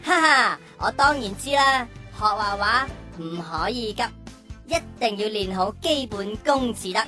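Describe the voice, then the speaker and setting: An actor voicing an excitable, cheeky, boastful young boy in a children's radio drama. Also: mid-range voice, measured pace, faint music behind. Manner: exclamatory, boastful, giggly.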